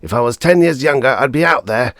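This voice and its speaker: A man's voice: mid-range voice, expressive, brisk, dry recording, clean studio sound, voice pushed up. Man voicing an angry, bitter space-colony father. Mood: furious, scornful. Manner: savage, swearing, forceful outbursts. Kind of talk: audiobook character voice.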